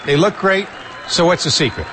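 A man pitching pet food in an upbeat scripted commercial. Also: moderate pace, crowd noise, background noise.

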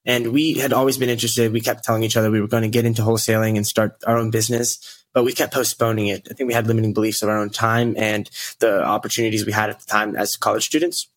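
A man's voice: fairly low pitch, flat delivery, fast talker, dry recording. A twenty-year-old man from the United States, telling his story conversationally as a podcast guest. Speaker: podcast guest, real estate investor.

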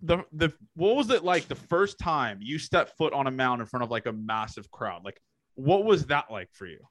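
Excitable young Canadian podcast host. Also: male voice, medium pitch, moderate pace, a little hiss or hum. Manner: loose, swearing, excitable questions. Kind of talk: casual podcast chat.

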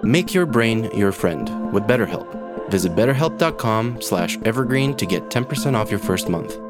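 A man's voice: fairly low pitch, expressive, normal speed, over music. A man reading a scripted podcast sponsor spot in a conversational, explanatory manner. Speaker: sponsor ad reader.

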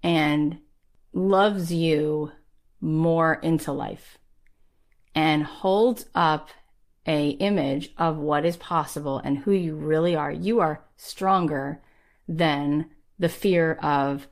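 A woman's voice: sits low, slow. A woman in her thirties, a podcast host giving an emphatic, rallying motivational talk in a conversational way.

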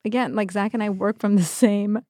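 A woman's voice: medium pitch, fairly even intonation, fairly quick, dark tone, dry recording, clean studio sound. A woman co-hosting a podcast in casual chat, asking questions and reacting encouragingly. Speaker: podcast co-host.

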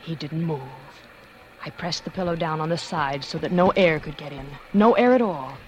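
An actress playing a scheming, cold-blooded former stage actress, confessing in dramatic 1940s radio suspense style. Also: female voice, deep voice, low-quality recording. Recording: 1940s radio recording with music.

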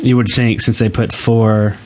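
A man's voice: on the low side, little pitch movement, measured pace, a little mellow. A man co-hosting a podcast, chatting casually and informally with the group. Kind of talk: casual chat.

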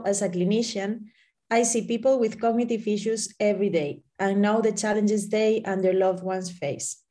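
A woman, a neurologist co-hosting a podcast, reading her part in a measured, formal way. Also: mid-range voice, a little mellow. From Spain. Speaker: neurologist, co-host. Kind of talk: scripted podcast narration.

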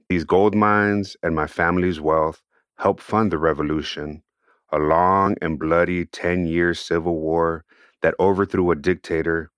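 A man's voice: deep voice, fairly bright tone, no room sound, clean studio sound. A man who is an author, speaking about his work and reading his memoir aloud. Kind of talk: personal remarks and audiobook reading.

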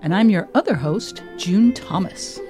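A woman's voice: mid-range voice, animated, a little slow, mellow, faint music behind. A woman presenting a podcast and interviewing in a conversational style on a close mic.

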